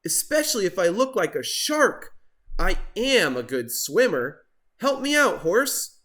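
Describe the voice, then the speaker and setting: A man's voice: high-pitched, sing-song, a little slow, a bit bright, voice pushed up, full character voice. A man voicing an eager, dramatic turkey in a storybook, exclaiming, moaning and gobbling. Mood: eager, excited.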